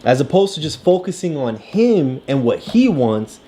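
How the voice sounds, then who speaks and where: A woman's voice: deep voice, big pitch swings, moderate pace, warm tone, a little hiss or hum. A woman talking a child through a picture book, encouraging and lecturing, often checking in with "right?". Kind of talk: casual coaching talk about a story.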